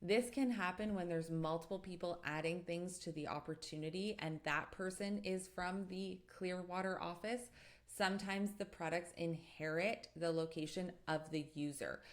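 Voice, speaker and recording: A woman narrating a step-by-step software tutorial in a methodical, explanatory way as an instructor. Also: on the low side.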